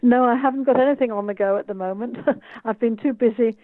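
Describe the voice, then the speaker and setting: A woman's voice: a little high, quick pace, no room sound. A woman in her eighties from the United Kingdom, an author and tour guide speaking enthusiastically in an interview over a video call. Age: 80s. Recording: tinny phone-like call line.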